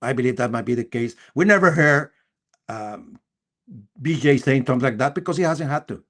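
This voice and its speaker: A man with a Costa Rican accent, a native Spanish speaker, hosting a live sports discussion in a halting, repetitive way with emphatic bursts. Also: medium pitch, animated, fairly bright tone. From Costa Rica. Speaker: sports talk show host.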